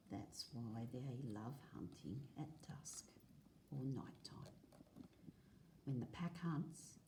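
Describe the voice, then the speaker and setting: Woman, teacher read-aloud, very unhurried, soft-edged mellow tone, measured explanations. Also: low-pitched, slight background noise. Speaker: teacher reading aloud to pupils. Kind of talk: read-aloud lesson of a non-fiction picture book. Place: indoors at a table, filming a book.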